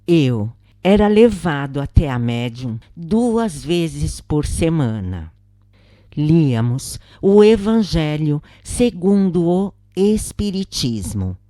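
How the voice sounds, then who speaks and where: A woman with a Brazilian accent reading a scripted story as a narrator, in a measured, deliberate way. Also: sits low, warm tone, dry recording, clean studio sound. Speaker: narrator of spiritist stories.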